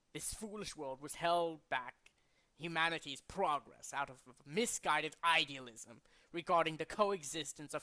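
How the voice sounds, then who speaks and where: A man's voice: fairly high pitch, sharp tone. A man voicing a condescending, cultured and fanatical villain leader from a video game, speaking in a formal, theatrical way. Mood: gloating, triumphant. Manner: formal, pompous, theatrical, deliberate.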